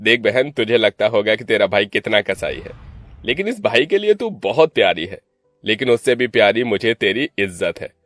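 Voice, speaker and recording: A man voicing a menacing, domineering young man with a harsh, commanding way of talking, in an animated story. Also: lowish voice, a little fast, soft background music, natural voice. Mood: stern.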